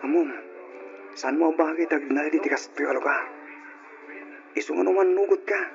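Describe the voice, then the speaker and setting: An actor playing an insistent, doting suitor and husband in an old radio drama. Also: male voice, on the high side, normal speed, gravelly, faint music behind. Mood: persuasive. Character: doting, insistent bar customer turned eager husband. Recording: dull lo-fi old radio recording.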